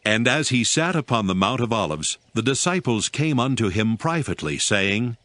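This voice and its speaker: A man's voice: mid-range voice, expressive, normal speed, bright, forceful tone, dry recording. A man narrating scripture from a script in a formal storytelling manner. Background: background music.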